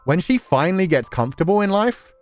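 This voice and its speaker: A man's voice: high-pitched, big pitch swings, brisk, faint music behind. A man giving casual, emotive live commentary as a game streamer, swearing freely and acting out characters' thoughts as they analyse a story. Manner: emotive, acts out imagined inner thoughts, sweary.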